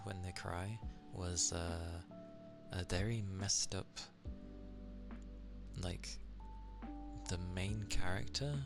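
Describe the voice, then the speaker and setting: Low slow english guy on an asmr stream, gravelly and kinda monotone, soft whispery chat, music playing. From England. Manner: soft-spoken, drifts into whispers.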